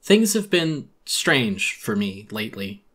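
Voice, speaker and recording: A man's voice: fairly low pitch, very animated, slow pace, a bit bright. A man giving a dramatic first-person audiobook narration of a horror story in a weary, brooding manner.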